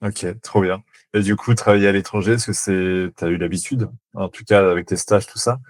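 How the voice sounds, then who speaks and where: A French man hosting a casual podcast, relaxed, asking questions and nodding along with short replies. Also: low-pitched, normal speed, no room sound. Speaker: podcast host. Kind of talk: casual interview.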